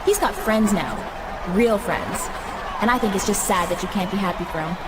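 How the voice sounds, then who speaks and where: A woman's voice: mid-range voice, normal speed, over a noisy crowd, lightly acted. A woman playing a manipulative, mocking young wrestling diva in a video game cutscene, sugary and taunting. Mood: mock sympathy. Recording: clear background noise.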